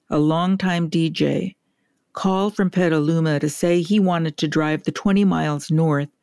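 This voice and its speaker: Measured woman narrating a documentary. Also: sits low, gravelly, darkish tone, dry recording, studio quality. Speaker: journalist narrator.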